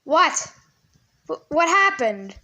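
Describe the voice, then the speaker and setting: A woman's voice: sits high, very animated, takes it slowly, pushed and bright, exaggerated voice. A woman playing a radio news anchor in an amateur emergency drama, with an urgent announcer manner. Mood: alarmed.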